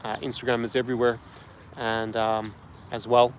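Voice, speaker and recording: An Irish man talks frankly and at length to the camera, with plenty of ums and restarts as he thinks aloud. His voice sits in a middle pitch. Faint street and traffic noise runs behind him outdoors. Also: flat delivery, moderate pace. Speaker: travel and lifestyle guide host. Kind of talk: casual talk to camera.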